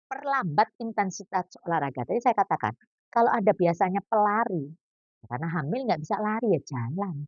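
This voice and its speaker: A woman's voice: fairly low pitch, dry recording. Woman doctor in her fifties, chatty, jokey, dry close sound, slightly dull lo-fi audio. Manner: chatty, jokey, lively explaining with asides. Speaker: doctor giving pregnancy advice. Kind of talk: casual health talk.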